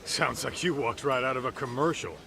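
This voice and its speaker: An actor playing a brash, streetwise detective sidekick in a video game, blunt, slangy and wisecracking. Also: male voice, mid-range voice, a little fast, gravelly, background noise, natural voice. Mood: wry. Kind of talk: video game cutscene acting.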